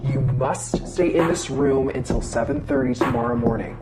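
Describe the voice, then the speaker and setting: A woman's voice: very deep voice, moderate pace, pitched below the performer's own voice. A woman voicing a stern old woman exorcist who gives grave, commanding warnings in a horror story. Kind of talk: horror story character dialogue. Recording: loud music under the voice. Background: loud music.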